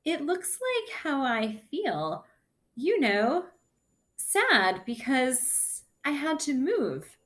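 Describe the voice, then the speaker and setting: This is a woman playing a friendly little girl from a picture book. The voice is lifted and very expressive in pitch, forthright and full of curiosity. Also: drawn-out words, exaggerated voice. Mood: sympathetic. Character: friendly, curious young girl, new neighbor.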